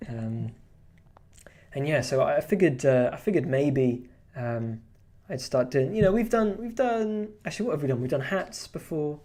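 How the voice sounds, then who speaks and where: A man with a warm, relaxed tone talks casually on a live stream while he draws. He rambles and restarts sentences often, with plenty of fillers. He chuckles now and then. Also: fairly high pitch, normal speed. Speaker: streamer and artist.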